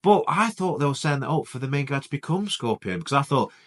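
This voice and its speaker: A man's voice: mid-range voice, big pitch swings, fast talker, a bit bright. A man co-hosting a casual film chat, laid-back and chatty, asking his co-host questions. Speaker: podcast co-host.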